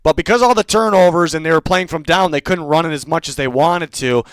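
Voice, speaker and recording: Higher-voiced man talking football picks, close and dry. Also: fairly quick, smooth, no echo. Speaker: host of a football picks show. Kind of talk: casual sports chat.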